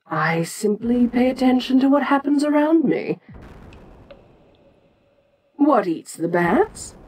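A woman playing an ancient, imperious giant monster queen in an audio roleplay, speaking in a regal, deliberate and musing manner with frequent pauses. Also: fairly high pitch, lively intonation, a little mellow, a little hiss or hum. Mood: matter-of-fact, commanding. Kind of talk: slow in-character audio roleplay monologue.

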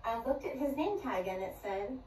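A woman's voice: a little high, normal speed. An older woman tells her personal story into a phone camera, slipping into the voices of the people in it. The sound is roomy and distant, with a faint hiss underneath. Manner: animated storyteller who acts out dialogue. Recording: roomy far-off clip with faint noise.

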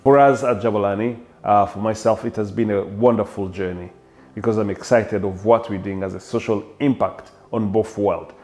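A middle-aged man with a Rwandan accent giving an interview to camera, passionate and sometimes halting, building on repeated phrases. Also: fairly low pitch, steady tone, a little mellow. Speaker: coffee company co-founder. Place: indoor coffee shop.

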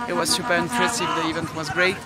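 A woman's voice: lowish voice, fast. A middle-aged woman who manages football academies, answering questions in an outdoor media interview. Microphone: handheld reporter microphone. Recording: loud crowd and music behind.

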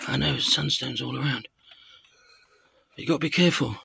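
A man, chatting casually and excitedly to camera as an exploring vlogger. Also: medium pitch, moderate pace, creaky. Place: outdoors on streets and woods, later inside a tunnel. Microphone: handheld camera or phone at arm's length. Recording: noisy handheld recording on location.

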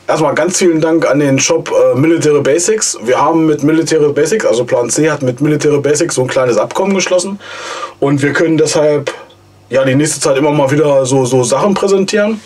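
A man in his forties, hoarse from a cold, casually and enthusiastically reviewing gear in a basement vlog. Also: a little high, a little mellow, slight background noise. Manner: enthusiastic, chatty, slangy. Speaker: outdoor gear channel host. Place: cluttered basement storage room, indoors. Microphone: camera mic from a few steps away.

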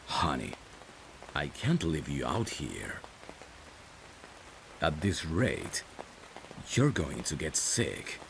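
A man's voice: extremely low pitch, wildly swooping pitch, extremely slow, vocal fry, long pauses, noisy background. A man acting a romantic ASMR roleplay as a caring, protective friend, speaking tenderly and intimately. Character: caring, protective male friend secretly in love. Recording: rain ambience behind the voice. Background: rain.

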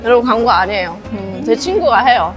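High-voiced woman chatting over music. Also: expressive, brisk. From North Korea. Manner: informal, often laughing while talking. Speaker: vlogger. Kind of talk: casual chat with friends.

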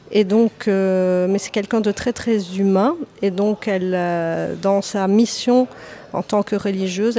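Hesitant woman author, soft and searching. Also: medium pitch. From Lebanon. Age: 30s. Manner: hesitant, many fillers and restarts. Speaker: author guest. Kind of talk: podcast interview.

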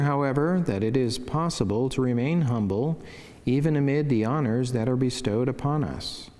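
A man, a priest, reading a scripted devotional in a measured, formal and reverent way. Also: mid-range voice, darkish tone. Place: wood-panelled church sanctuary, indoors. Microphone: lectern microphone. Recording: long church reverb.

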